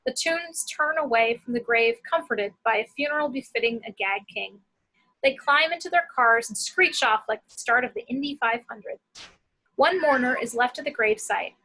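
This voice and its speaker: Female narrator for screenplay action lines, bright and forceful with some rasp. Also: fairly high pitch, animated, moderate pace, rough recording. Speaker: action-description reader.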